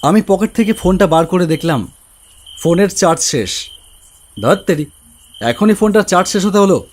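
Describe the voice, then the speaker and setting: A man's voice: high-pitched, animated, moderate pace, a little hiss or hum. A man giving scripted, dramatic first-person narration for a cartoon horror story. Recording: faint crickets and rain ambience.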